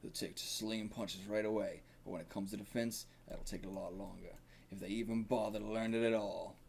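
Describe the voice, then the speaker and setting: Man acting out gruff, remorseful old boxing coach. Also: fairly low pitch, steady tone, fairly quick, a little mellow, a little hiss or hum. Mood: solemn, remorseful. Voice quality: gravelly.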